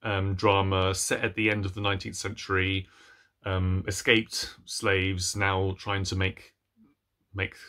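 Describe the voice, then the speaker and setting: Young adult male, lowish voice, casual hesitant book-vlog chat, self-deprecating, recorded in a room at home. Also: little pitch movement, a little slow, pushed and bright. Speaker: book reviewer vlogger. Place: small room at home, indoors.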